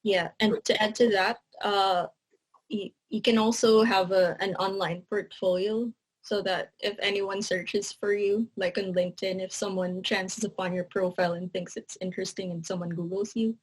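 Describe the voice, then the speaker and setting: A woman's voice: medium pitch, moderate pace, slightly rough, low-quality recording. A young adult woman with a Filipino English accent, talking about her writing career in an interview. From Philippines. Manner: relaxed, earnest, says "you know" a lot. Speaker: guest, writer and content editor. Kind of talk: casual interview answers.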